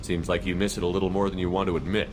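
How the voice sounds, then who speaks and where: An actor playing a steady, probing human military commander in a video game, direct and even-tempered. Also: male voice, sits low, very fast, in a large hall, noisy background, close to an everyday voice. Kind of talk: video game character acting.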